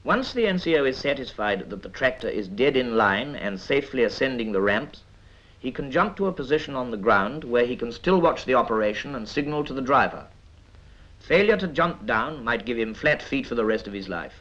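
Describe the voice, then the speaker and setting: A British man reads scripted 1950s military training film narration in a measured, instructive manner with dry asides. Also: mid-range voice, animated, a bit bright. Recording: dull vintage 1950s film soundtrack.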